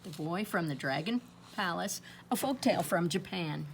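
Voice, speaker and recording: A woman reading aloud to children in an expressive storytelling style, with dramatic pauses and drawn-out emphasis. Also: fairly low pitch, creaky, a little hiss or hum. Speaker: storybook reader.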